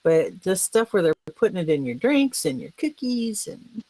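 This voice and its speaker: A woman's voice: mid-range voice, very animated, normal speed, darkish tone, no room sound. A woman bantering casually as a podcast co-host, folksy and joking, with colorful sayings.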